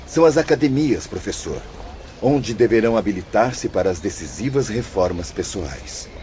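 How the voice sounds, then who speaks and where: Learned male spirit mentor, played by an actor, solemn, didactic, expressive, slightly muffled audio-drama sound. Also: fairly low pitch. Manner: formal, didactic. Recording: dull lo-fi audio, faint noisy ambience.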